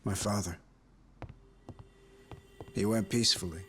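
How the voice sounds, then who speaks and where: An actor playing a subdued, reflective grown son in a video game cutscene, speaking in a quiet, plainspoken way. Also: male voice, fairly low pitch, fairly even intonation, measured pace, a little hiss or hum. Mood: wistful, subdued.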